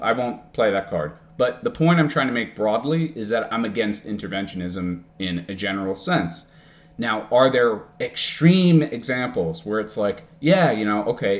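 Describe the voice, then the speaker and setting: A man's voice: mid-range voice, lively intonation, normal speed. A young man from the United States arguing heatedly in an online debate, swearing often and cutting in on the other speaker. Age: young adult. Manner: profane, combative, interrupting.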